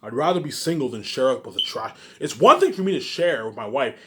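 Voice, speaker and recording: A man's voice: mid-range voice, big pitch swings, a little fast, noisy background. A man casually reading a book aloud for his viewers, often stumbling, repeating words and breaking off into emphatic rhetorical asides. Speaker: video creator doing a book readalong.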